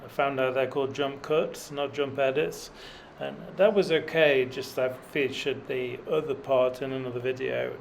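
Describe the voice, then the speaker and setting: A man's voice: medium pitch, steady tone, normal speed, gravelly, hardly any pauses, slight background noise. A middle-aged man talking in a dry, self-deprecating way with many fillers while cycling up a mountain road. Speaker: cycling vlogger. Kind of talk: rambling self-deprecating commentary while riding.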